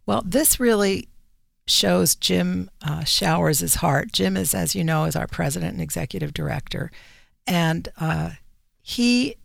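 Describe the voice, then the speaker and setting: A woman speaking as an editor and radio guest, explaining things conversationally in deliberate, measured phrases. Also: on the low side, gravelly, no room sound, studio quality.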